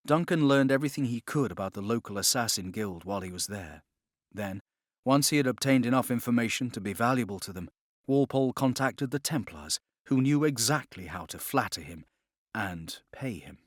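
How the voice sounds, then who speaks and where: A man's voice: lowish voice, no echo. Male audiobook narrator, close and dry. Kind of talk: scripted dramatic narration of a novel.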